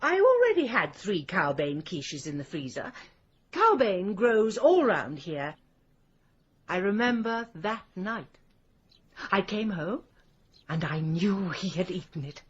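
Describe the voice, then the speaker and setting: An actress playing a spiteful, mocking village poisoner with an English accent in a radio drama. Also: female voice, mid-range voice, very animated, slow pace, breathy edge, muffled recording, heavily acted. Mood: bitter, reminiscing. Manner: mocking, theatrical, condescending.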